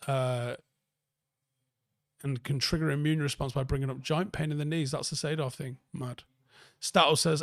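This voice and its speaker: Northern english bloke, soft manc accent, bit of gravel, dry sound, reading out chat comments and swearing loads. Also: male voice, fairly high pitch, no room sound. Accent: northern English, softened Manchester. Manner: sweary, blunt, informal. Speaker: livestream host, YouTuber. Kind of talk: casual chat, answering viewer comments.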